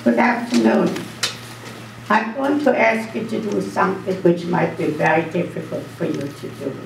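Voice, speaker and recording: A woman's voice: fairly low pitch, animated, normal speed, gravelly. An older woman from Austria telling her story earnestly to a school audience as guest speaker. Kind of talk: speaking to students. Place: school auditorium. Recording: echoey hall, distant mic, faint hum.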